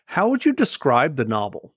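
A man's voice: mid-range voice, wildly swooping pitch, normal speed, fairly bright tone, husky, dry recording, clean studio sound. A man interviewing an author with brief, curious questions.

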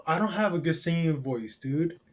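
Slangy young man, slightly high voice. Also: lively intonation, normal speed. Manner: slangy, swears a lot, calls people dude. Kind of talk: casual chat.

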